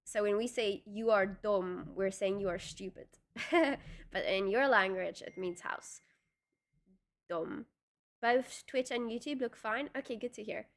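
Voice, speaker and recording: A woman's voice: mid-range voice, a little slow. A young woman from South Africa, a native Afrikaans speaker with a South African accent, chatting casually on a livestream, rambling with lots of fillers and restarts. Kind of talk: casual livestream chat reading viewer comments.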